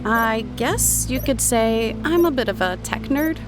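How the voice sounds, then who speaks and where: A woman's voice: on the high side, expressive, unhurried. An actress playing a guarded, clever woman who tinkers with tech on a prison island, in an audio drama. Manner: guarded, explanatory. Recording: music under the voice.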